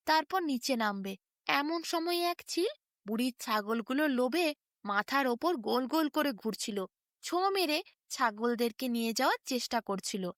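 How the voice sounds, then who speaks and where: A woman narrating a scripted children's fairy tale in a dramatic, expressive way. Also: high-pitched, no room sound, studio quality. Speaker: storyteller.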